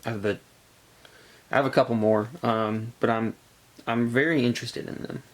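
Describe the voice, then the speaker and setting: A man with a congested, gravelly voice from a cold, chatting casually as he shows off a collection haul in a halting way. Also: medium pitch, steady tone. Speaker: comic book collector presenting a haul. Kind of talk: casual show-and-tell vlog chat.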